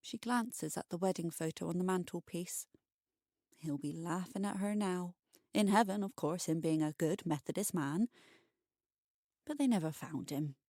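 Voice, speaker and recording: A woman narrating a scripted bedtime short story for adults, expressive and wry. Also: fairly low pitch, normal speed, no echo, clean studio sound.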